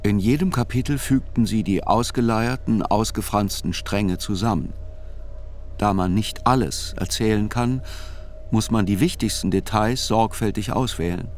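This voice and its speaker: An actor as a sober chronicler, narrating a radio drama in reflective, deliberate literary phrases. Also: male voice, fairly low pitch, darkish tone, a little hiss or hum, close to an everyday voice. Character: sober male chronicler narrating a criminal trial.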